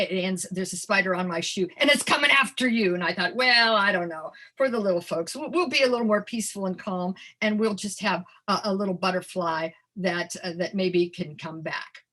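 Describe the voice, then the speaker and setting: A woman presenting a storytelling workshop in an animated, theatrical and encouraging conversational manner. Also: mid-range voice. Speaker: storytelling presenter and early literacy educator. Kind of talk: workshop demonstration with storytime performance.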